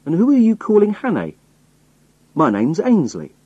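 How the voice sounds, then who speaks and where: A man voicing a hard-up sailor in a story, rough, pleading and defiant as he protests. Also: medium pitch, big pitch swings, normal speed, a little mellow, no room sound, muffled recording. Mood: rough indignation.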